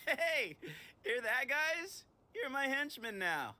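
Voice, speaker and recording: A man's voice: very high-pitched, sing-song, slow pace, pushed and bright, full character voice. A man voicing a cocky, carefree young desert guide in an anime-style game, teasing and nonchalant in his delivery. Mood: playful.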